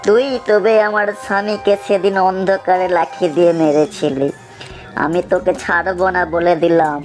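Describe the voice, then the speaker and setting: A woman's voice: fairly low pitch, steady tone, normal speed, darkish tone, soft background music, close to an everyday voice. A woman voicing a vengeful female serpent spirit in a children's cartoon, with a threatening, declamatory delivery. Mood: vengeful, menacing. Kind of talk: children's cartoon story dialogue.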